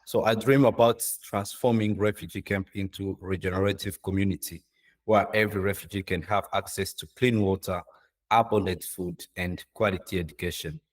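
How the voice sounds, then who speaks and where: Deep-voiced man presenting, halting English. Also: steady tone. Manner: halting, earnest, non-native English phrasing. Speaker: guest speaker, social entrepreneur. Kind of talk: informal presentation of own work. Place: online video call.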